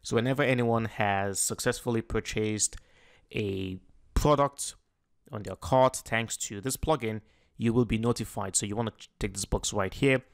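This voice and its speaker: A man talking through a software tutorial in a casual, explanatory way, with fillers and restarts as he clicks through settings. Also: lowish voice, moderate pace, creaky. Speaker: tutorial host. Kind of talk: screen-recorded software tutorial. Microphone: voice-over on a screen recording.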